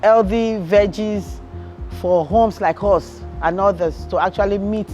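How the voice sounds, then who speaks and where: A woman's voice: mid-range voice, fairly even intonation, measured pace, dark tone, muffled recording. Woman interviewee, mid-range voice, personal documentary testimony, faint music bed.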